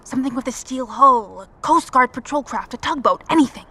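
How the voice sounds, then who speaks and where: Tough, higher-voiced woman survivor. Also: expressive, brisk, pushed and bright, breathy edge, exaggerated voice. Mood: exasperated, resolute. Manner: terse, firm, practical. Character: tough, resolute combat-trained woman survivor leading companions. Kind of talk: audio drama dialogue. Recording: faint background ambience.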